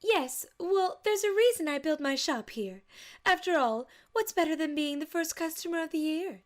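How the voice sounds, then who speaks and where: A woman's voice: sits high, animated, normal speed. A woman voicing a vain, theatrical young unicorn lady in a cartoon fan story, affected and self-satisfied. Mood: smug. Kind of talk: cartoon fan fiction voice acting.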